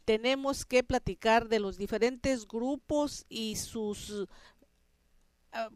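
Mexican lady radio host, chatty and colloquial, clear smooth voice, really dry close sound. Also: on the high side, takes it slowly, no room sound. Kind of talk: conversational radio hosting.

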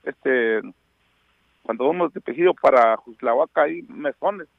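Man, Mexican accent, native Mixtec speaker, middle-aged, gravelly, hesitant, tinny phone line. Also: mid-range voice, unhurried, no echo. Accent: Mexican Spanish. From Oaxaca, Mexico. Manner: reminiscing, hesitant with many fillers. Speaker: phone-in guest. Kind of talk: radio interview by phone.

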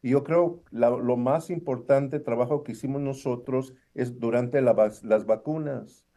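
A man answers as a guest academic. His voice is mellow and of medium pitch. He hesitates often, leans on fillers and slips into English now and then. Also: measured pace. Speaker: university professor, interview guest. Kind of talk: conversational interview answers.

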